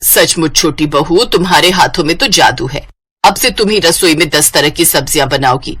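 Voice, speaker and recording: A woman acts as an older mother-in-law in a cartoon moral tale. Her voice is lowered and measured, giving praise and orders with equal authority. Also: a bit bright, husky. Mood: approving. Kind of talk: Hindi moral-story cartoon voice acting.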